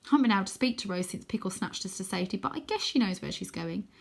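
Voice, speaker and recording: A woman reading a children's novel aloud as expressive narration, with dramatic, animated emphasis. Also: mid-range voice, racing, vocal fry.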